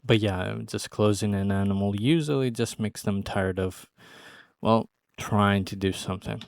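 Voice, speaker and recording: A man giving casual reaction commentary, thinking aloud in a halting way. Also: fairly low pitch, creaky, dry recording, studio quality. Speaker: reaction video host.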